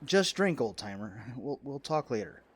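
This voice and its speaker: Excitable, animated wisecracking yachtsman. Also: mid-range voice, moderate pace, husky. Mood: uneasy, wry. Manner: wry, excitable, colloquial. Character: excitable, wisecracking man, card-playing yachtsman friend. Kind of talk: audio drama acting.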